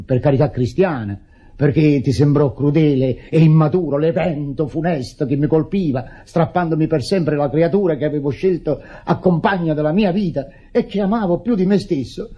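An actor playing an indignant, self-pitying widower in a radio drama, declamatory and stammering in agitation. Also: male voice, a little high, dark tone, breathy edge. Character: indignant grieving widower, theatrical, self-pitying, berating his sister. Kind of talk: Italian radio drama acting. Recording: dull lo-fi old radio recording.

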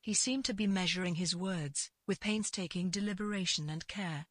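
Husky low-voiced woman narrating fiction. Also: moderate pace, fairly bright tone, no room sound, clean studio sound. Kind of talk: scripted literary fiction narration.

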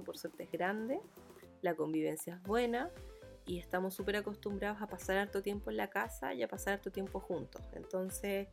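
A woman with a Santiago Chilean accent hosts a solo podcast in a mid-pitched voice. She talks in a colloquial, rambling, conversational way. Music plays behind her. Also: creaky. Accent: Chilean Spanish (Santiago). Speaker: podcast host. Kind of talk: casual chatty solo monologue.

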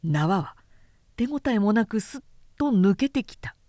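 A woman reading a literary story as a dramatic audiobook narrator, in a measured, expressive storytelling manner. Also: medium pitch, no echo, studio quality. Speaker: narrator, announcer.

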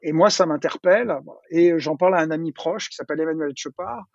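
A middle-aged man speaks in a husky, slightly high voice, racing through his story. He is a chatty interview guest who keeps restarting and repeating words. The recording is dry and a little dull. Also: brisk, no room sound. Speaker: entrepreneur interviewee. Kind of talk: casual conversational interview.

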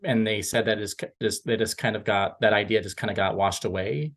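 A man co-hosting a film podcast, chatting casually in a halting, rambling way and often correcting himself. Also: lowish voice, steady tone, a bit bright.

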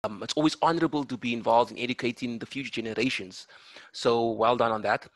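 A man hosting a podcast interview, asking questions in a measured, encouraging way. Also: mid-range voice, dry recording, rough recording. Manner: measured, encouraging, uses um fillers. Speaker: podcast host.

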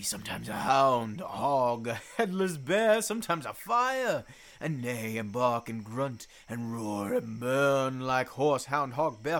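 A man's voice: mid-range voice, a bit bright, heavily acted. A man playing a gleefully menacing, shape-shifting sprite with theatrical, incantatory delivery in a Shakespearean audio drama.

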